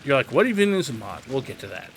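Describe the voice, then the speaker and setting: A man's voice: mid-range voice, sing-song, fast talker, vocal fry, a bit bright. A man giving tutorial commentary over gameplay in an explanatory, conversational way. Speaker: gaming video host. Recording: faint game noise behind.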